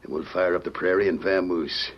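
Casting: male actor as cold, scheming American outlaw chief, very low voice, curt orders, muffled vintage radio Western. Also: fairly even intonation, fairly quick, lightly acted. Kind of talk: old-time radio acting. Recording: muffled vintage radio recording, faint noise.

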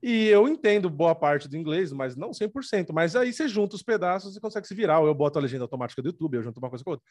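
A man's voice: a little high, expressive, very fast, hardly any pauses, a little mellow. A Brazilian man hosting a channel, chatting informally with slang and a self-deprecating manner. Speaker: online channel host and content maker. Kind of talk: casual chat.

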